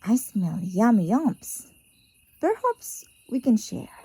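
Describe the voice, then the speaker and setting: Generous picture-book badger, voiced by a woman, playful, eager, a little higher than plain narration. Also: big pitch swings, takes it slowly, warm tone, breathy edge, no echo, exaggerated voice. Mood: eager, grinning. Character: friendly, generous badger in a children's storybook.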